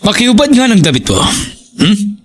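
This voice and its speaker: Man acting a suspicious high-voiced police investigator. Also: big pitch swings. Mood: suspicious, probing. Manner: deliberate questioning, polite but firm. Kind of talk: Filipino audio crime drama acting.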